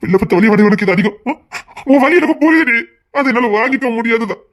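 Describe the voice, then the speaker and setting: An actor playing a stubborn, controlling husband and anxious father-to-be in an emotional melodrama, insistent and repetitive, moving between hushed coaxing and agitated scolding. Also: very high voice, animated, fast. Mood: reassuring.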